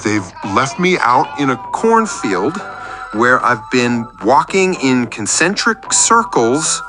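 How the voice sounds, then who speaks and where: A man's voice: mid-range voice, very animated, slow pace, music in the background. A man playing an earnest, lonely, oversharing oddball client in a radio comedy, rambling in odd detail. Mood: earnest, dreamy. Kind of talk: scripted radio comedy monologue.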